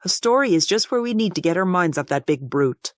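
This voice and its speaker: A man voicing a kindly steam engine in a cartoon dub, telling an old legend in a measured, earnest way. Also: high-pitched, animated, dry recording, clean studio sound. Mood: earnest, storytelling. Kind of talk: comic dub cartoon storytelling.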